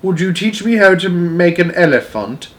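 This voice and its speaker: Gentle storybook giant, acted by a man, pitched up, wistful, jumbled folksy words. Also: animated, normal speed. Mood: teasing. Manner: ungrammatical, jumbled made-up words.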